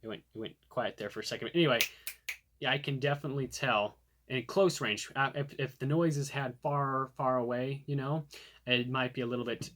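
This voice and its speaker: A man's voice: mid-range voice, a bit bright. Casual man reviewing gear. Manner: informal, conversational explaining. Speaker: product reviewer. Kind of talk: casual hands-on product review.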